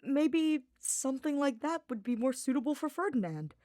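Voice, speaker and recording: Woman voicing a timid, high-pitched young male archer. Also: sing-song, normal speed, dark tone, no echo, clean studio sound. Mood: meek, hesitant. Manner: meek, hesitant, stammering.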